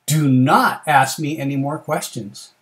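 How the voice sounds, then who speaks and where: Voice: medium pitch, unhurried, close to an everyday voice. A reader voicing a shifty storybook rabbit who gives defensive, over-insistent denials. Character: defensive, shifty rabbit denying a hat theft.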